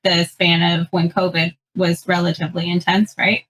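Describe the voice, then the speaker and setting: A woman's voice: fairly low pitch, level tone, normal speed, a bit bright, husky, low-quality recording. A woman co-hosting a podcast, asking a guest questions in an easygoing, curious way. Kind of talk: casual interview chat.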